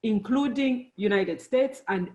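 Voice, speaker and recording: A middle-aged woman born and raised in Zambia, giving a friendly, unhurried presentation to students, with many fillers. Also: fairly high pitch, darkish tone. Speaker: engineer giving a talk to students.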